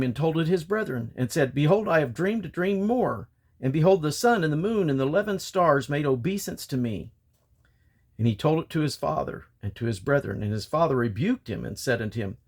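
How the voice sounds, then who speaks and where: A man with a mid-pitched voice reads scripture aloud as a narrator. He phrases the old-fashioned text deliberately, clause by clause, in the manner of a Bible reading. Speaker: Bible reader.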